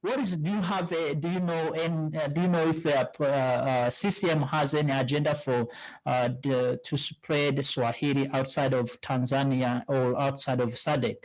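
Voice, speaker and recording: Hesitant male interviewer, slightly high pitch. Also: fairly even intonation, normal speed, rough recording. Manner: hesitant, many filler "uh"s. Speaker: interview host.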